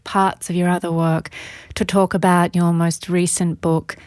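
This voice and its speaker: A woman's voice: on the low side, level tone, a little slow, darkish tone, no room sound, studio quality. A woman hosting a podcast interview, asking questions and chatting informally in a conversational style.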